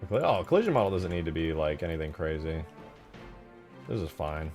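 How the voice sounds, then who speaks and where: A man's voice: rumbling low, very animated, moderate pace, a little mellow, soft background music. A man chatting casually and in slangy, sweary terms on a livestream while he works on game mods. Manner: laid-back, slangy, profane. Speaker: streamer building video game mods.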